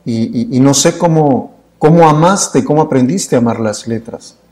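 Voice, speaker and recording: Middle-aged guy hosting a studio interview, mellow tone, long rolling questions. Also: mid-range voice, moderate pace. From Mexico. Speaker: interview host. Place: dark indoor studio.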